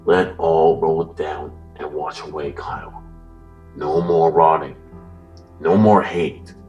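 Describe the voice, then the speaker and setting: Menacing puppet-master killer, extremely low pitch, solemn preachy taunting, distant sound, horror audio drama. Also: male voice, fairly even intonation, a little mellow, muffled recording, faint music behind. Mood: ominous challenge. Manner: slow, solemn, taunting, theatrical.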